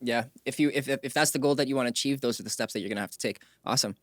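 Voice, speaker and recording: A man's voice: medium pitch, rapid-fire, dry recording, clean studio sound. A man hosting a podcast interview, asking conversational questions and introducing segments.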